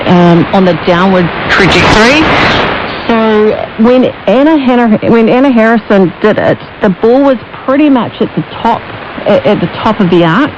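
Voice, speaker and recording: A woman's voice: mid-range voice, muffled recording. New Zealand woman on noisy shortwave radio. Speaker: sports pundit interviewed on radio. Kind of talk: conversational radio interview.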